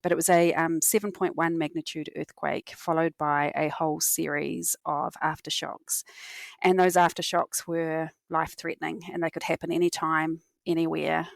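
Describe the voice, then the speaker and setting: A woman's voice: low voice, flat delivery, normal speed, gravelly, no echo. A woman with a New Zealand accent explaining her work conversationally as an expert podcast guest. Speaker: podcast guest, cognitive scientist and company leader.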